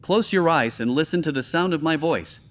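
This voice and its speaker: Actor as a calm, polite ship's computer. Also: medium pitch, moderate pace, slight background noise, lightly acted. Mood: calm, soothing. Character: calm, polite station computer offering meditation. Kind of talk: sci-fi audio drama acting.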